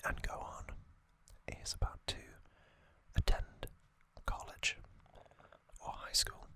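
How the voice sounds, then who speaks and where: An ASMR host giving a relaxed recap in a soft, unvoiced whisper, with casual fillers and small asides. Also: slow pace.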